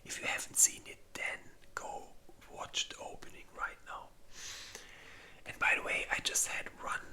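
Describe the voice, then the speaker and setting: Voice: normal speed, bright, forceful tone. An ASMR creator whispering casually in a rambling, informal way, as if chatting through a personal movie list. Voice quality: breathy unvoiced whisper.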